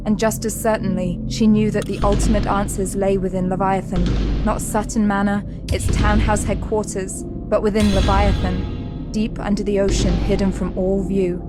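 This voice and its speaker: Female narrator actress for sci-fi thriller audio drama: grave, dramatic, medium pitch, heavy score underneath. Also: flat delivery, normal speed, close to an everyday voice. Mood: ominous. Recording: prominent dramatic music under the voice.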